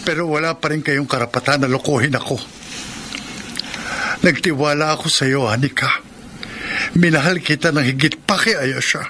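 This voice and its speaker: A man's voice: on the high side, moderate pace, long pauses, background noise, natural voice. An actor playing a heartbroken young man who feels betrayed, in a radio drama. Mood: hurt. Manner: emotional, wounded, accusing. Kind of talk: broadcast radio drama acting.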